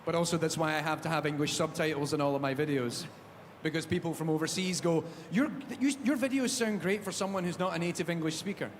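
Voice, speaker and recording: Scottish man hosting a lively panel. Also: a little high, fast talker, hardly any pauses. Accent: Glaswegian Scottish. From Scotland. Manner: jokey, animated storytelling. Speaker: panel host. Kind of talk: live panel chat. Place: large theatre stage. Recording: echoey hall, background noise.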